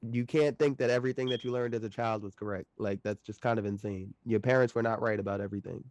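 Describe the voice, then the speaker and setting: A man's voice: fairly low pitch, fairly even intonation, quick pace, a little mellow, no echo, dull audio. A man in his late twenties, chatting loosely as a podcast guest, laughing often and swearing casually. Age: late 20s.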